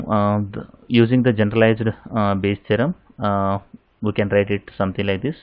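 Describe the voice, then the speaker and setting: Low-voiced man explaining, tinny sound. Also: dry recording. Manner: steady explaining, frequent "uh" fillers and "in this case". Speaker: lecturer. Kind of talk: slide lecture on probability. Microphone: voice-over to slides.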